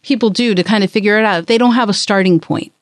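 A woman hosting an interview, asking questions and giving steady affirming murmurs while her guest talks. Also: mid-range voice, quick pace, dry recording, studio quality. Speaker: interview host. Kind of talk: interview conversation.

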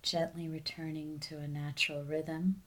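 A woman leading a guided meditation as a teacher, speaking in a calm, soothing, unhurried way with softly trailing phrase endings. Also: low voice, poor audio.

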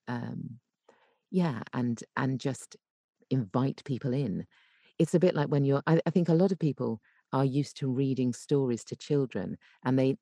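Woman with a mellow, expressive voice. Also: very deep voice, no echo, clean studio sound. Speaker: guest voice coach. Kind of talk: conversational explaining on a podcast.

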